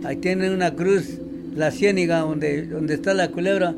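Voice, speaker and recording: A man with an Oaxacan Mexican accent, interviewed and recounting local lore in a halting way, repeating words. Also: a little high, steady tone, creaky, very noisy. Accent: Oaxacan Mexican Spanish.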